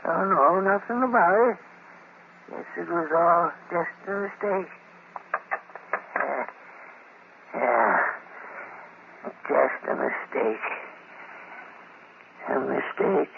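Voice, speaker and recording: Halting, gravelly rustic man in old radio. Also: fairly high pitch, exaggerated voice. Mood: dazed, evasive. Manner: halting, folksy, broken-up speech. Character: grieving, muddled, vengeful simple rustic man with weak heart. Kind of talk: old-time radio drama acting. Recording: noisy, muffled old radio broadcast.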